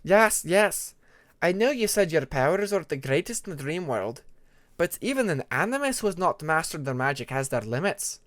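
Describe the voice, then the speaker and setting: A man's voice: a little high, very animated, moderate pace, a bit bright, no echo, voice pushed up. A man voicing a haughty, sceptical dragon with pointed, emphatic delivery in a fantasy audiobook. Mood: probing. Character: haughty, sceptical Icewing dragon, probing and curt.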